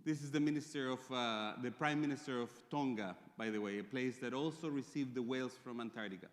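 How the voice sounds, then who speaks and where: A man from Chile with a Chilean Spanish accent, speaking English as a second language and giving a conference presentation with measured pauses and fillers. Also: mid-range voice. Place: large conference hall. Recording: reverberant conference hall.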